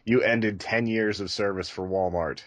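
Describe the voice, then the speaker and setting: A man's voice: on the low side, fairly even intonation, normal speed, no room sound. A man chatting casually and enthusiastically as a podcast co-host.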